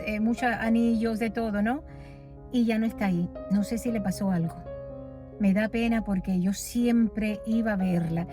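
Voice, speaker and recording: Female vlogger raised in Los Angeles, rambling and chatty, handheld phone audio with music underneath. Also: a little high. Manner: chatty, rambling, changes topic often, laughs at herself. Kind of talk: casual vlog walking tour. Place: outdoor street corner at night.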